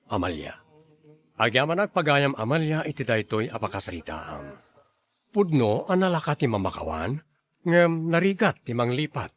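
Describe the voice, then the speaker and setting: A male host of a radio-style drama programme giving advice. His voice is mid-pitched, with widely varied, animated intonation.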